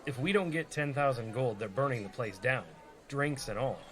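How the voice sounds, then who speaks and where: A man's voice: medium pitch, expressive, moderate pace, close to an everyday voice. A man playing a young rogue and reluctant party leader in a comedy radio play, casual and persuasive. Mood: negotiating. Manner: casual, bargaining.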